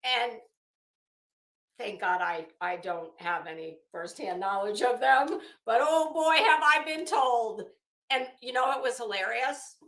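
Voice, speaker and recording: A woman's voice: a little high, unhurried, a bit bright. Gossipy woman chatting in an echoey room. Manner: gossipy, chuckling, coy asides. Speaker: livestream host. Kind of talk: casual chat with live viewers. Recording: roomy, echoey room sound.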